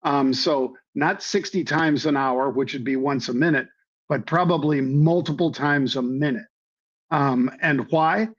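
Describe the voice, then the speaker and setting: A man with a slightly hoarse voice, a trading guest explaining things in a folksy way with many ums and repeated phrases. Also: medium pitch, unhurried. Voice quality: hoarse from years of floor yelling. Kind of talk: conversational explanation. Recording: dull lo-fi audio.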